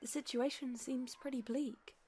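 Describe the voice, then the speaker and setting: A woman's voice: sits high, steady tone, moderate pace, mellow, slightly rough. A woman playing an earnest, discreet young nun working as an informant in a radio play. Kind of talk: radio play acting, fan production.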